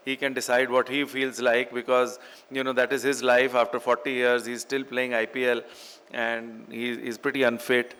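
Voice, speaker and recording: A man's voice: medium pitch, fairly bright tone, clean tone. A middle-aged man with an Indian accent giving an emphatic motivational business keynote, repeating phrases for effect. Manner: emphatic, repetitive phrasing, rhetorical questions. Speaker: keynote speaker, business executive. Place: large indoor event hall. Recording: echoey hall with long reverb.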